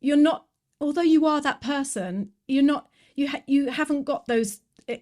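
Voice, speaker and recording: A woman hosting a relaxed podcast interview, her voice sitting a little high. She talks in a chatty, reflective way, thinking aloud and restarting her sentences as she goes. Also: normal speed. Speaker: podcast host. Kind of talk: conversational interview.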